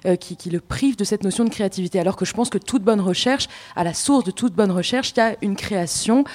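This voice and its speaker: A woman's voice: mid-range voice, quick pace, darkish tone. A woman putting a formal spoken question to the guests of a panel discussion.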